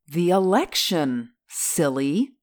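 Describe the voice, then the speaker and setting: Sweet young woman done by a guy reading a book, high sing-song voice, slow and swoopy. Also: sing-song. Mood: fond. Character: young woman, affectionate then furious. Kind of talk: audiobook character voice.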